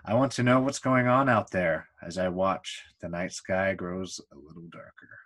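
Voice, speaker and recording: Guy with a lowish voice reading a book out loud, deliberate, pauses between phrases. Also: steady tone. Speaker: book reader.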